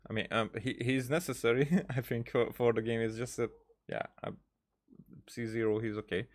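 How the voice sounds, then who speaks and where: A man streaming a game with casual commentary, thinking aloud in a halting way and repeating words. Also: medium pitch, steady tone. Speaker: gaming streamer.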